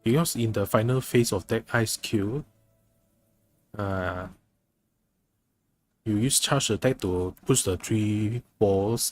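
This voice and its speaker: A man giving casual live game commentary as a streamer, halting and often rephrasing himself. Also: on the low side, a little hiss or hum. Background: game music.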